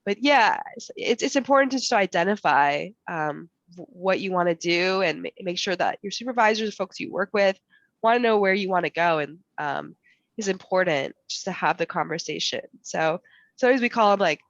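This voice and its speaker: A woman on a panel with a cold and a voice that is going, giving advice haltingly with many fillers. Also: lowish voice, animated, dry recording. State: fighting a cold, losing her voice. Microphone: video call. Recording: dull dry video call audio.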